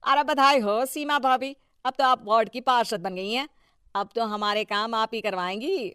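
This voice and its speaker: Woman voicing a high, bright, fawning townsperson. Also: moderate pace, clear, resonant tone, no echo, studio quality, lightly acted. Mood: flattering. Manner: fawning, exclamatory. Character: deferential, flattering townsperson in a cartoon. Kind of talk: Hindi cartoon story dialogue.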